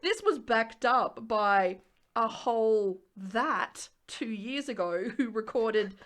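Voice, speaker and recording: An Australian woman co-hosting a podcast in casual chat, with an animated, expressive manner. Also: on the high side, slow. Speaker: podcast co-host.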